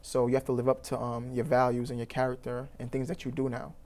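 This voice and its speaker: Young trini guy talking quick, earnest and rambly, lots of ums. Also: medium pitch, level tone, darkish tone. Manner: earnest, rambling, many fillers and restarts. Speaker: youth program founder. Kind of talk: interview answers.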